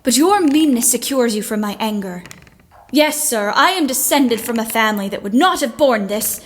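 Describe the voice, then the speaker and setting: A woman's voice: on the high side, animated, moderate pace, natural voice. A woman playing a bookish, outspoken fifteen-year-old girl in a period audio play, frank and literate. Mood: dramatic reading.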